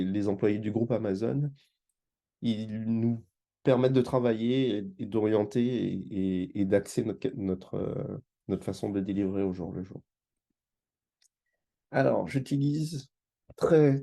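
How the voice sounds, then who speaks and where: A man's voice: fairly low pitch, fairly even intonation, unhurried, vocal fry, dark tone. A man from Martinique giving an informal conference presentation as a guest speaker, explaining in a hesitant way with repeated words. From Martinique, France. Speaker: engagement manager, guest speaker.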